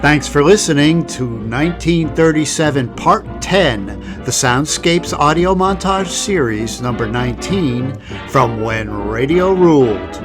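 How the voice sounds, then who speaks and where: A man's voice: on the high side, expressive, unhurried. A man reading a scripted podcast sign-off as its host. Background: music.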